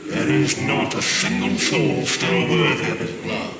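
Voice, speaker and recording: A man's voice: fairly low pitch, steady tone, natural voice. An actor playing a devilish, gloating villain father in a video game, grandiose and cruel. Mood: gloating menace. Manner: slow, grandiose, menacing. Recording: cavernous reverb, heavy rain and thunder noise.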